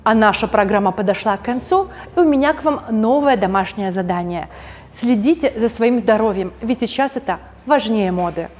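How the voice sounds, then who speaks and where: A woman's voice: mid-range voice, animated, moderate pace, darkish tone, big-room sound, soft background music. Cheerful woman host signing off. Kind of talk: show sign-off.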